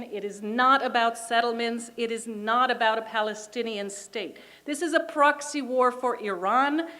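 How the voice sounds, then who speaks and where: A middle-aged woman from Israel, a native Hebrew speaker, delivering a prepared political speech at a rally in an emphatic, deliberate oratorical manner. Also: sits high, fairly bright tone. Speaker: community organization chair speaking at a rally.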